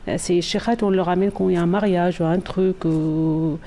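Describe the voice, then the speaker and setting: A woman's voice: on the low side, fairly even intonation, normal speed, warm tone. A woman who grew up between the Netherlands and Morocco, speaking informally in an interview and searching for words. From France, Morocco and the Netherlands. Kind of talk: casual interview talk.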